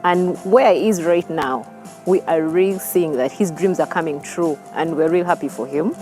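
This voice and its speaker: A woman's voice: low voice, moderate pace, music in the background. A woman with a Ugandan accent giving a personal testimonial in a documentary interview. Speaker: family member giving a testimonial.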